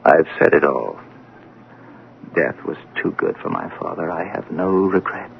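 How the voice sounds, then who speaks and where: An actor playing a bitter, proud scientist son in an old-time radio drama, with a theatrical, emphatic delivery. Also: male voice, rumbling low, lively intonation, measured pace, creaky, narrow, tinny audio, a little hiss or hum, exaggerated voice. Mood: bleak, brooding. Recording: band-limited radio drama recording.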